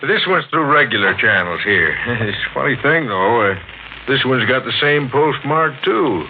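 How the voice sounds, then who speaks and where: Actor as a folksy, animated radio mailman. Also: mid-range voice, normal speed, close to an everyday voice. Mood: obliging. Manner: folksy, casual. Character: easygoing, obliging small-town mailman. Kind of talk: 1940s radio thriller acting. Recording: noisy, muffled 1940s radio recording.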